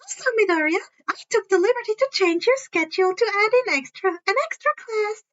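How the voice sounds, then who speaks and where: A woman's voice: very high-pitched, animated, brisk, a bit bright, muffled recording, voice pushed up. A woman voicing a chirpy, perky, bossy male school principal in a story reading. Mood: chirpy, pleased.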